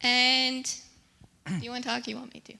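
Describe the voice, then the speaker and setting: A woman's voice: a little high, level tone, moderate pace, gravelly, bright, forceful tone. A woman missionary telling a story in a casual, chatty way to a church. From United States. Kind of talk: church presentation. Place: church sanctuary.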